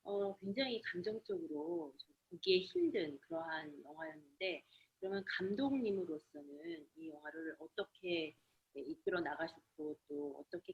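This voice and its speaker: A woman interprets in a rapid, lively rush, her pitch jumping around with animation. Her voice is husky and crackles with fry. She comes through a video call with dull, lo-fi audio. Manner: rapid, animated delivery with fillers. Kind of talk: consecutive interpreting.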